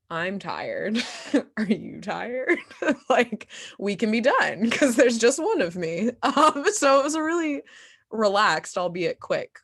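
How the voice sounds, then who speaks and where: Young woman, medium pitch, slow casual delivery, candid and giggly, a performer answering questions. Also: a bit bright. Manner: candid, giggly, self-deprecating. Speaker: actor and playwright answering questions. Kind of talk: casual conversational Q&A.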